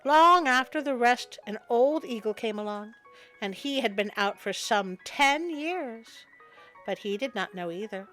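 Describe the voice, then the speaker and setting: A woman's voice: on the high side, animated, unhurried, fairly bright tone, soft background music, low-quality recording. A woman storyteller narrating a folktale expressively, with wry spoken asides. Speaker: storyteller, host.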